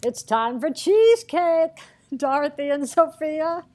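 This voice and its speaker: A woman voicing a sweetly naughty elderly lady who narrates a comic script reading, halting and deliberate, with sly asides. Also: high voice, lively intonation, darkish tone. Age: older. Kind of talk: screenplay table-read narration.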